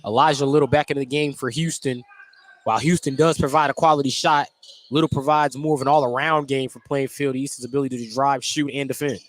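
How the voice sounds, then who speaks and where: A man's voice: medium pitch, steady tone, fast talker. A man doing live play-by-play basketball commentary on the radio in a jokey manner. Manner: jokey, often chuckling. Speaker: play-by-play announcer.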